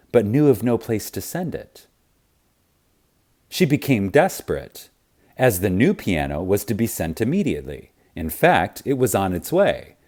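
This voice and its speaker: A man reading a spiritual audiobook reverently and emphatically, with deliberate dramatic pauses. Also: lowish voice, darkish tone. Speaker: audiobook narrator.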